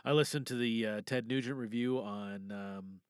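A man's voice: fairly low pitch, moderate pace, clean tone, no room sound, clean studio sound. A tired man hosting a podcast in a casual, rambling, self-deprecating and joking way. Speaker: podcast host.

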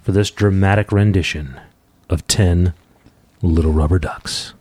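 A man hosting a podcast on a close microphone, bantering in a deadpan, teasing way with mock-grand introductions. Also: deep voice, measured pace, husky, dry recording. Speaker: podcast host; father of the young reader.

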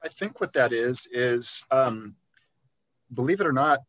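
A man's voice: mid-range voice, expressive, a little slow, fairly bright tone, no room sound. A man, a podiatrist guest on an interview podcast, explaining his views in an earnest, conversational way with frequent ums and restarts. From Liberia.

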